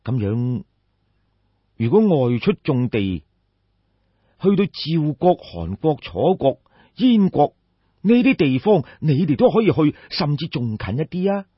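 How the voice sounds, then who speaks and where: A man's voice: a little high, very animated, unhurried, pauses often, slightly rough, dry recording, full character voice. A man voicing a compassionate scholarly official in an audiobook story, courteous, earnest and concerned. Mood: puzzled. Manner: earnest questioning, polite address. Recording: dull, muffled lo-fi audio.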